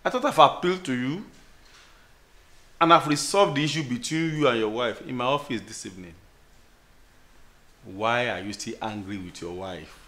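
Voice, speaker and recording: An actor playing a pastor who scolds a married couple, speaking in a formal, reproachful manner. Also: male voice, fairly high pitch, very animated, a little slow, long pauses, warm tone. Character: stern counseling pastor, middle-aged man. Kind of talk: Nigerian film drama acting.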